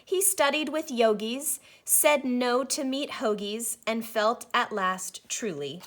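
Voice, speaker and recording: A woman teacher reading a children's storybook aloud in an expressive narrating style. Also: fairly high pitch, takes it slowly.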